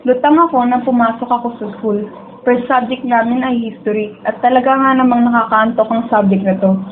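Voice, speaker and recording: A woman's voice: on the high side, steady tone, brisk, a little hiss or hum, natural voice. A young woman with a Filipino accent playing a dreamy teenage schoolgirl who prefers dreams to reality, in radio drama dialogue and first-person narration. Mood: drowsy, reflective. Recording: tinny narrow-band audio with music behind.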